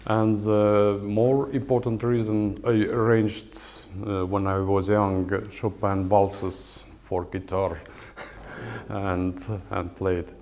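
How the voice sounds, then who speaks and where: A man in his sixties with an Estonian accent, giving a lecture as a guitar professor in hesitant English with many fillers and pauses. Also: fairly low pitch, little pitch movement, a little mellow. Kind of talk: lecture with halting non-native English. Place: lecture hall at a music academy.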